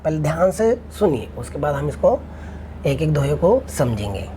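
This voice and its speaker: A woman teaches a class. Her voice sits low and mellow, and she goes over each point again and again, asking whether the students understand. A faint noise runs under the recording. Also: sing-song. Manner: explains slowly, repeats phrases, asks students if they follow. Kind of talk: online class lecture.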